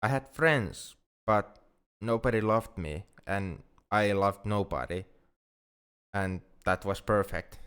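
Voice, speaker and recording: A man playing an anxious, lovesick alien friend in an ASMR roleplay, speaking in a halting, earnest, formally worded way. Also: lowish voice, fairly bright tone, clean tone. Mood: sad, anxious. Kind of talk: ASMR roleplay, intimate dramatic monologue.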